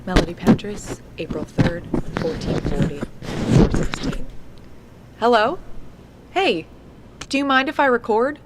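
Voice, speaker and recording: An actress playing a diligent, polite young researcher, speaking matter-of-factly into a field recorder in an audio drama. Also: female voice, mid-range voice, big pitch swings, measured pace, pauses often. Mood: polite, persistent. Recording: noisy handheld field tape with clicks.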